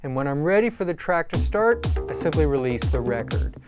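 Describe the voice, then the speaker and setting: A man calmly explaining step-by-step techniques in a tutorial, as an instructor. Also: a little high, a little fast. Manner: calm, explanatory. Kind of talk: tutorial narration. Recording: faint music behind.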